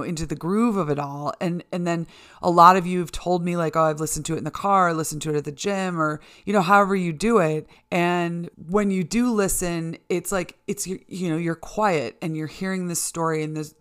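A woman's voice: low-pitched, a bit bright, smooth. A middle-aged American woman hosting a solo podcast, talking candidly and casually to listeners in an informal, mildly sweary way. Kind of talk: casual conversational monologue to listeners.

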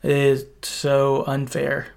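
A man's voice: mid-range voice, monotone, very slow, vocal fry, darkish tone. A man in a casual podcast discussion, recapping a story in a conversational, hedging way. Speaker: podcast host.

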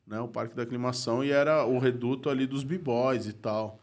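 A man's voice: medium pitch, fairly even intonation, brisk, darkish tone. A man, a guest telling stories in casual chat, slangy, laughing often and dropping to a mutter. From Brazil. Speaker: guest graffiti artist.

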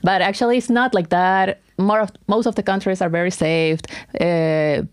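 Guest: woman in her twenties, lowish voice, halting as she hunts for English words. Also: fairly even intonation, smooth. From Chile. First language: Spanish. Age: 20s. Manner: hesitant, searching for words, laughs easily. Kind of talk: casual interview chat.

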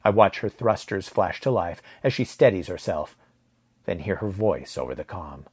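Guy reading a first person sci-fi story, lowish husky voice, scripted narration. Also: expressive, moderate pace.

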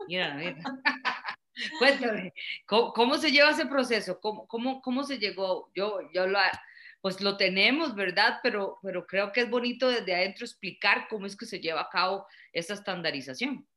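A woman of mid pitch hosts an online interview over a video call. She asks her questions in a chatty way and stops for friendly asides. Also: lively intonation, normal speed, bright, forceful tone. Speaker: host.

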